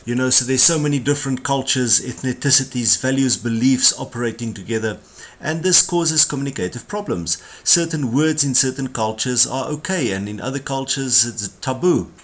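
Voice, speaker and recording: A man's voice: medium pitch, normal speed. A man with a South African accent giving a communication skills tutorial, explaining ideas in a conversational way with emphatic asides. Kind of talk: tutorial lecture.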